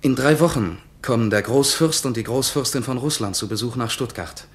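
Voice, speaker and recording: A man's voice: mid-range voice, moderate pace, lightly acted. Actor doing a young army doctor, earnest and set on his choice, radio play voice. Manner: earnest, resolute. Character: young man, determined regimental doctor.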